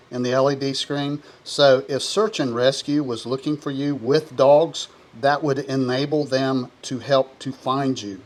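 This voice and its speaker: A man presenting a product review, explaining features one by one in a plain, steady way. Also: medium pitch.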